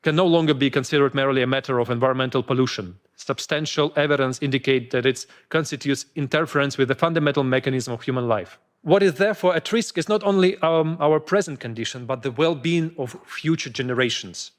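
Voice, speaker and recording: A man's voice: medium pitch, quick pace, few pauses. A man speaking formally at a conference, summarizing his points and announcing the next speakers into a podium microphone. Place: conference hall.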